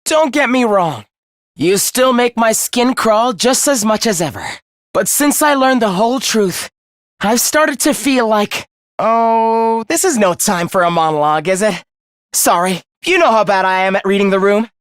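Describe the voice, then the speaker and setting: Eerily polite, fervent young game fighter. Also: male voice, sits high, animated, unhurried, fairly bright tone, no echo, clean studio sound. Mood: sheepish, edgy. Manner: theatrical, formal, courteous speech with morbid devotion. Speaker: voice actor. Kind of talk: dramatic video game character acting.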